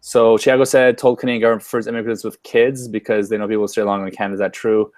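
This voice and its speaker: A man hosts a live online webinar over a webcam, upbeat and casual, stumbling through fillers and restarts. His voice sits fairly low and sounds dry and close, with no room around it. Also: little pitch movement, fast, a little mellow, no echo. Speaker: webinar host. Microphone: webcam or headset on a video call.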